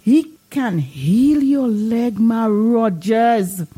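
A woman playing a devout, gullible, excitable older village woman with a Dominican Caribbean accent, gushing and exclamatory, in a drama. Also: on the high side, extremely slow, breathy edge, exaggerated voice. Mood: joyful, earnest. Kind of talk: dramatised dialogue in Caribbean creole English.

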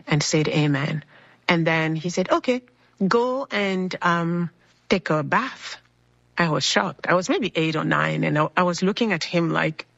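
A woman's voice: fairly low pitch, fairly bright tone, no echo, lo-fi sound. A woman from the Republic of Congo telling her faith testimony earnestly, acting out the words of the people in her story. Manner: earnest storytelling, acts out quoted dialogue.

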